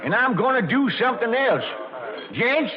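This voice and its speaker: An actor playing an older, blustering, folksy frontier saloon judge in an old radio drama, with an American Western drawl and a gravelly voice. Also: male voice, very high voice, very animated, moderate pace, a crowd in the background. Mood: proud, proclaiming. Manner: folksy, bombastic, self-important. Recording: muffled vintage radio recording.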